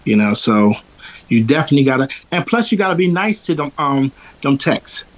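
A man's voice: on the high side, big pitch swings, fairly quick, poor audio. A man from Philadelphia, a singer who says he has a powerful voice, talking through an interview in a loose, rambling way with lots of fillers. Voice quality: strong, powerful voice. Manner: rambling, with many fillers and restarts. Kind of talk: casual interview chat.

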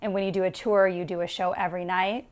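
A woman's voice: lowish voice, level tone, quick pace, clear, resonant tone. A young adult woman from the United States, a stand-up comedian guest chatting casually and laughing often at herself in an interview.

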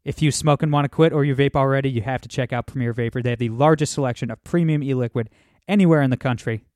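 A man's voice: medium pitch, fast, a little mellow, dry recording, clean studio sound. Casual upbeat male podcast host. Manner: upbeat, casual, filler-heavy talk.

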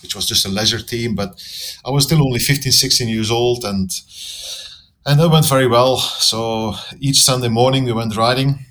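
A man from Belgium with a Flemish accent, speaking as a podcast guest in a relaxed, measured way with frequent pauses and ums. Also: mid-range voice. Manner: thoughtful, measured, with many ums and restarts. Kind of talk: casual interview conversation.

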